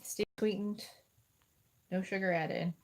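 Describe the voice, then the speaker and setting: A woman's voice: medium pitch, fairly even intonation, slow, very noisy. Nasal woman chatting on a livestream. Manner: chatty, giggly, showing food products. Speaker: co-host of a livestream. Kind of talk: casual livestream chat.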